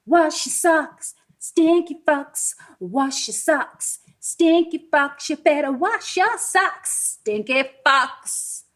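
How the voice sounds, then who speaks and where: A woman voicing a vain, eager male storybook fox who performs in a drawn-out, chanting sing-song. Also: high voice, expressive, a bit bright, voice pushed up, heavily acted. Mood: proud, showy.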